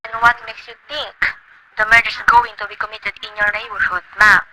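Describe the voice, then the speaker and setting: An actor playing a calm, placating police sergeant. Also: male voice, high-pitched, fairly quick, noisy background, lightly acted. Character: weary patient desk police sergeant. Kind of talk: amateur radio play acting.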